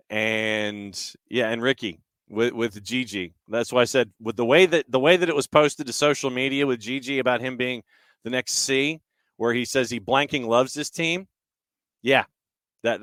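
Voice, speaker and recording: Male sports talk host, mid-pitched, resonant, conversational and halting, working through listener comments. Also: fairly bright tone, clean tone, no echo. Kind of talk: casual soccer talk, reading and answering listener comments.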